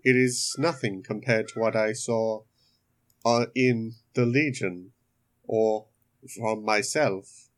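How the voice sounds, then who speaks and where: A man voicing a formal, analytical technological being in a role-play podcast, with measured, stiff phrasing. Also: lowish voice, steady tone.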